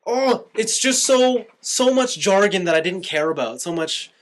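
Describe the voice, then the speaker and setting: Animated, opinionated man with wide pitch swings. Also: a little slow. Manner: animated, opinionated, exasperated griping, swears casually. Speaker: podcast host. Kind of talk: casual anime review chat.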